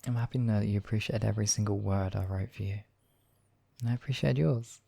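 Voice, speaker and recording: A man's voice: fairly low pitch, steady tone, fast talker, creaky, mellow. A man voicing a devoted demon king in an intimate ASMR roleplay, speaking in a courtly, tender and teasing way. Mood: fond, teasing. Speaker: voice actor in audio roleplay. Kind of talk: ASMR romantic roleplay acting.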